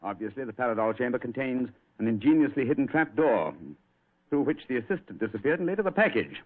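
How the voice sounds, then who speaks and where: An actor playing a clever, wry gentleman detective in an old-time radio drama, with a British accent and an urbane, facetious manner. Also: mid-range voice, lively intonation, fast, natural voice. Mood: dismissive, amused. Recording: tinny, band-limited old radio recording.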